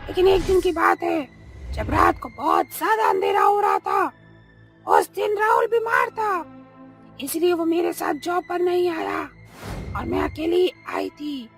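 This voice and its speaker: A woman voicing a vengeful giant spider witch ghost in a cartoon horror story, with a shrill, menacing, dramatic delivery. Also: wildly swooping pitch, measured pace, vocal fry, raised voice, faint music behind, heavily acted. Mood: bitter reminiscing.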